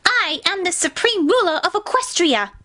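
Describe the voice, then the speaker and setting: A woman's voice: extremely high pitch, sing-song, moderate pace, pushed and bright, exaggerated voice. A woman voicing a haughty, tyrannical pony princess with a pompous, demanding manner in a dramatic story reading. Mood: petulant, demanding. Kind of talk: dramatic fan fiction reading.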